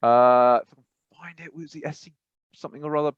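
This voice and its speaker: Man, podcast co-host, from England, rambling, hesitant, mid pitch, dull dry lo-fi sound. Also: fast talker, smooth, dry recording. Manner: rambling, hesitant, many fillers and restarts, laughs while talking. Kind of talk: casual podcast chat.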